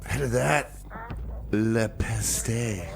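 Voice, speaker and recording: A man's voice: mid-range voice, very slow, gravelly, crowd noise. A man hosting a community music radio show. He talks casually, in a loose, joking and rambling way.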